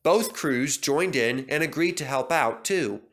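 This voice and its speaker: A man tells a children's story in a dramatic narrating style. His voice is mid-pitched and bright, with very lively intonation. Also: a little slow.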